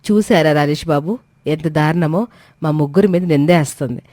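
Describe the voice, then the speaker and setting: A woman's voice: low voice, moderate pace, warm tone, dry recording, close to an everyday voice. A woman voicing a resentful visiting aunt in a dramatised moral story, complaining and insinuating. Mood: offended, insinuating.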